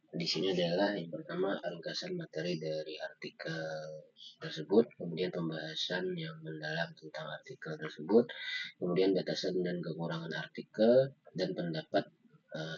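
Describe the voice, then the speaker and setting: A young man giving a halting student slide presentation, pausing often on fillers. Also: a bass voice, fairly bright tone. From Indonesia. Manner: halting, with frequent filler pauses. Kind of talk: slide presentation of an article review. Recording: dull lo-fi microphone sound.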